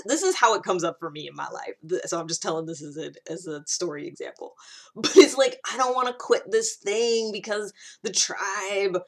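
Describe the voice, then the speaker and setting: A woman's voice: lowish voice, a bit bright. A woman talking casually and candidly in a personal vlog, rambling conversationally and peppering her sentences with "like". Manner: candid, self-reflective. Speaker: video host.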